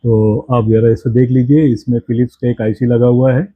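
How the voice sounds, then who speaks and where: A man's voice: mid-range voice, little pitch movement, breathy edge, no room sound. Indian man explaining, dull dry recording. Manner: halting, explanatory, repeats and corrects himself. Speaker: presenter of a radio hobby channel. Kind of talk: unscripted product demonstration.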